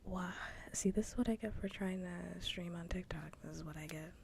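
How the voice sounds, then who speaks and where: A woman streams ASMR in a soft whisper, low and gravelly at the edges. She talks casually and circles back over her phrases with little melodic movement. The close microphone carries a faint rumble behind her. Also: normal speed. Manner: casual, repetitive, mildly swearing. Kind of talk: whispered ASMR livestream chat.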